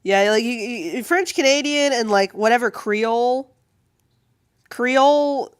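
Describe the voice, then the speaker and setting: A woman artist chatting casually and with amusement on a livestream while she draws, in a rambling, animated way. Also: fairly high pitch, slow pace, clear, resonant tone, no echo, clean studio sound. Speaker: comic artist streaming while drawing.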